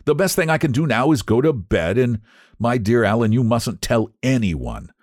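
A man voicing a young gentleman who talks in a sulky, plaintive way in a storybook reading. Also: mid-range voice, sing-song, moderate pace, dry recording, studio quality. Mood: dismayed.

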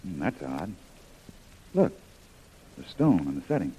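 An actor playing a rugged, wry adventurer hero who muses aloud, in the style of old-time radio drama. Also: male voice, low-pitched, sing-song, a little slow, dark tone, slight background noise, poor audio. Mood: curious, alert.

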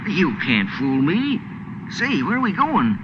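Noisy old radio, whiny folksy american deckhand, high voice swooping around, needy. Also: male voice, wildly swooping pitch, measured pace. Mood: cajoling. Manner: folksy, querulous. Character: scrappy, needy, simple-minded male boat sidekick. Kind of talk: 1940s radio drama acting. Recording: muffled, noisy vintage radio broadcast.

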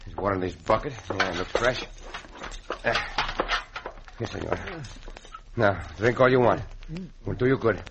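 A man's voice: fairly low pitch, a little slow, pauses often. A man playing a gallant, kindly Mexican cowboy hero in an old radio western, courteous, with a Mexican accent and Spanish words mixed in. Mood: soothing. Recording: faintly noisy old radio recording.

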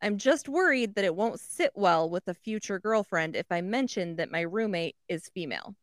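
A woman on a podcast reading a listener's question aloud in a plain, even voice. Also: mid-range voice, normal speed, no echo, studio quality.

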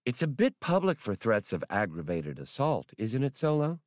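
A man voicing a self-assured, businesslike woman in an audiobook, brisk and chiding. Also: mid-range voice, very animated, no echo, studio quality, voice pushed up, natural voice.

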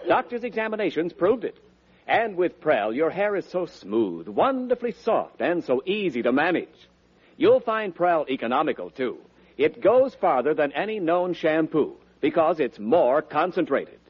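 Lively, high-pitched American radio announcer man. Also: moderate pace, slightly rough. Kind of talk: scripted 1940s radio ad and credits narration. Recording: dull lo-fi 1940s radio recording.

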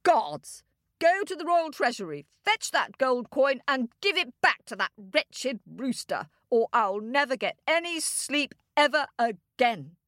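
A woman's voice: high voice, very animated, unhurried, fairly bright tone, no echo, clean studio sound, pitched above the performer's own voice, full character voice. A woman voicing a pompous, petulant king in a children's story, exclaiming imperiously. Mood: exasperated.

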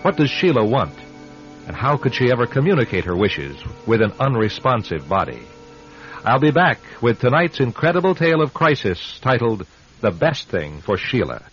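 A man hosting and narrating a dramatic radio anthology, grave, deliberate and suspenseful. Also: mid-range voice, big pitch swings, a bit bright. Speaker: host and narrator. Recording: muffled vintage radio recording. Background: faint music.

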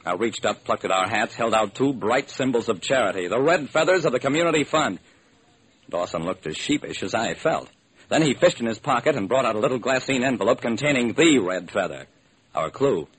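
A man's voice: mid-range voice, animated, a little fast, fairly bright tone, husky. An actor playing a hardboiled American private detective who wryly narrates his own case in an old-time radio drama. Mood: wry, intent. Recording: noisy old radio recording.

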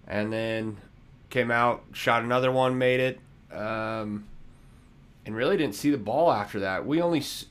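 Slow easygoing male sports podcaster. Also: medium pitch, fairly bright tone, clean tone. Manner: slow, easygoing, with many fillers. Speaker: podcast host. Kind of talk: casual postgame fan talk.

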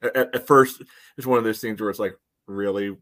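A man with a fairly low voice co-hosts a sports podcast. He talks in a casual, conversational way, with informal phrasing. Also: steady tone, a little fast, fairly bright tone.

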